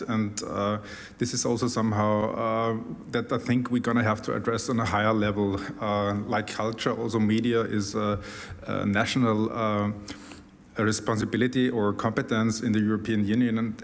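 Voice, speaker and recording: A man making an earnest argument as a panel guest, pausing often on uh. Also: fairly low pitch. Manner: earnest, frequent uh fillers. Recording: long hall reverb.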